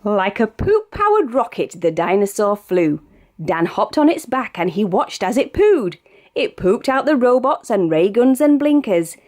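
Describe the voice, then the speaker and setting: Woman, British accent, children's storybook reader, sing-song rhymes, very animated intonation. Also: mid-range voice, a little slow. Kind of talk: read-aloud of a rhyming children's picture book.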